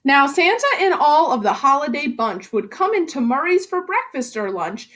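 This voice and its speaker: A woman reading a children's story aloud as a school reading specialist, with animated, theatrical emphasis on the rhymes. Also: high-pitched, moderate pace.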